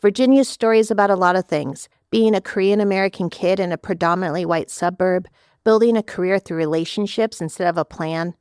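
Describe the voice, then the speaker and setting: Woman podcast host, mid pitch, encouraging interviewer, dry close recording. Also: darkish tone, clear, resonant tone, dry recording. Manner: reads intro, then brief encouraging prompts. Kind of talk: interview hosting.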